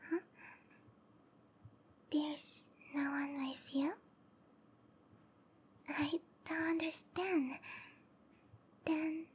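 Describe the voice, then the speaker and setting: A young monster-girl lover in a roleplay audio drama, sweet on the surface and dangerously possessive. Her voice is very high, soft and whispery, drawn out at an unhurried pace. Background noise is clearly audible behind her. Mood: puzzled, doting. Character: sweet, clingy, obsessive young female snake-monster girlfriend. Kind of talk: roleplay ASMR acting.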